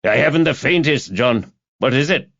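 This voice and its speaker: A man voicing a hearty, chatty male police detective sergeant in an audiobook, with an animated, blokey manner. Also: medium pitch, normal speed, creaky, highs cut off, voice pushed up. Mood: curious, friendly.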